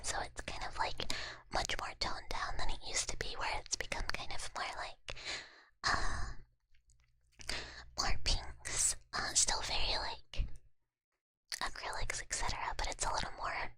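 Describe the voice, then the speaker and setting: Whispering young woman streamer. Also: normal speed. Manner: soft whisper, casual filler-heavy talk. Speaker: streamer reading chat. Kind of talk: whispered ASMR chat.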